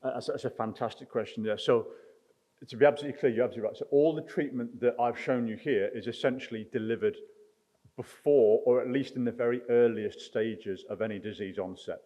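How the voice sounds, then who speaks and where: A man answering audience questions after a science talk, his voice mellow and dark, heard through a slightly dull, lo-fi recording. He answers carefully and hedges, with plenty of ums. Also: mid-range voice, a little fast. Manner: careful, hedging, with many ums. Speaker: research speaker. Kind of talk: conference Q&A answer. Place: lecture hall.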